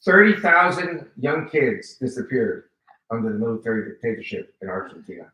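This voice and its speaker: An older man in his seventies gives an animated personal testimony, his voice bright and forceful. He acts out quoted exchanges as he tells his story. He is heard from a distance in a roomy, echoing hall. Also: mid-range voice, fairly quick. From United States. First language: Spanish. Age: 70s. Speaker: guest speaker giving testimony. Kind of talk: personal testimony to a live audience. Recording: roomy hall, voice picked up from afar.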